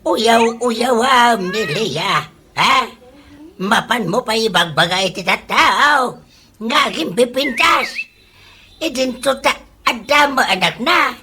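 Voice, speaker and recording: A woman acting a bright, forceful, scolding storekeeper. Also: on the high side, lively intonation, slight background noise, heavily acted. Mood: teasing. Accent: Ilocano. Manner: blunt, scolding, drawn-out questions. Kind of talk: Ilocano comedy radio drama acting.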